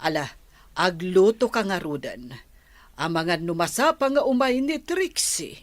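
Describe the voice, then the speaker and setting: A woman with an Ilocano accent playing an older, doting grandmother in a radio drama, speaking in a gentle, fond way. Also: fairly low pitch, very animated, unhurried, no echo. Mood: worried. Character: doting grandmother, soft-spoken and fussy.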